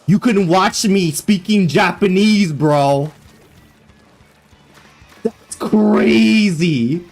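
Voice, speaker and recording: A young man chatting casually on a live gaming stream, excitable and loose, full of fillers and slang, swearing freely. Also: high voice, extremely slow, gravelly, faint music behind.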